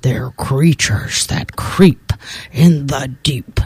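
A woman voicing an oozy swamp blob monster in a children's story, dropping to a low, creeping whisper. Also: extremely slow, a little mellow, husky, no room sound, heavily acted. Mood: creepy.

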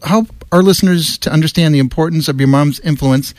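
A man's voice: on the high side, brisk, a little mellow, no room sound. Radio host man, stumbling over names. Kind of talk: radio interview. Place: radio studio.

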